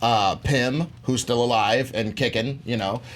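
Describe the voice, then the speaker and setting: A man's voice: medium pitch, unhurried. A man debating on a pop-culture show in casual, colloquial slang, repeating words for emphasis.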